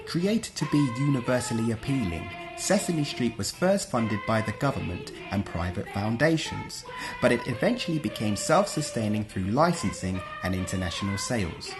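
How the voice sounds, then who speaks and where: A man narrating a scripted list video as a presenter. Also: mid-range voice.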